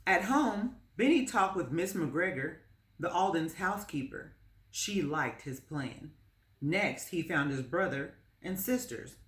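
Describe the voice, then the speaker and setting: A woman reading a children's story aloud as narrator, in a measured, expressive storytelling manner. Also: on the low side. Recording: roomy, echoing space.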